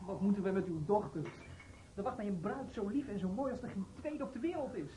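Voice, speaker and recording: Impetuous lovesick young brother. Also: male voice, sits high, lively intonation, fairly quick, warm tone, a little hiss or hum. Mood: indignant, lovestruck. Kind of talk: radio play acting.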